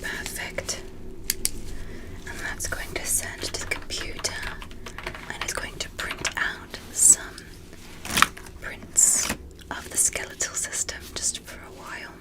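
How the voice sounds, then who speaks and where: A woman playing a calm, curious alien doctor in a gentle, breathy whispered ASMR medical roleplay, with formal, reassuring manners. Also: takes it slowly, long pauses, close to an everyday voice, rough recording. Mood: soothing, clinical.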